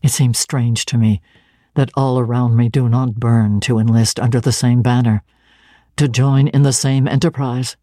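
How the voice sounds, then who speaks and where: A woman's voice: extremely low pitch, steady tone, normal speed, mellow, no room sound, clean studio sound, voice pushed down. A woman voicing a stern, zealous young clergyman who speaks with solemn, commanding deliberation.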